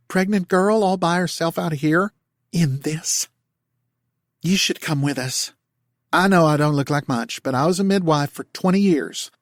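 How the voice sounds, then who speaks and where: A man's voice: fairly high pitch, no echo, studio quality, pitched above the performer's own voice, close to an everyday voice. A man putting on a down-home motherly older woman. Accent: American Southern. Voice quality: folksy, pleading.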